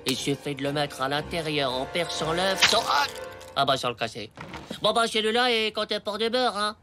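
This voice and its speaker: A cocky ten-year-old cartoon schoolboy, played by an adult actor, full of slangy bragging and mock-scientific certainty. He is scheming and exclamatory, with music playing clearly behind him. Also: mid-range voice, expressive, moderate pace, natural voice. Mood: bossy, excited. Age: child character, ten years old. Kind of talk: animated cartoon acting.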